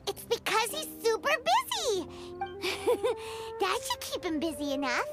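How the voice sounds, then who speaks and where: A woman voicing a tiny, excitable fairy companion girl in a video game, with a squeaky childlike voice and perky, chatty manner. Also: unhurried, heavily acted. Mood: musing, playful. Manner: perky, talks of herself in third person. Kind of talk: video game character acting. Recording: loud music behind. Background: game music.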